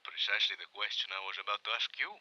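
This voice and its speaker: An actor playing a polite but suspicious Mexican police lieutenant in a radio drama, speaking formally and precisely with a Mexican accent. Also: male voice, on the low side, steady tone, very fast, pushed and bright. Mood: politely menacing. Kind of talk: 1950s radio drama acting. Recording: dull, band-limited old radio recording.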